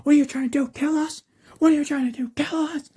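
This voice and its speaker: A man voicing frantic, angry prisoners who shout urgently and repeat themselves in an improvised fan story. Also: very high voice, steady tone, fast talker, voice pushed up. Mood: frantic protest.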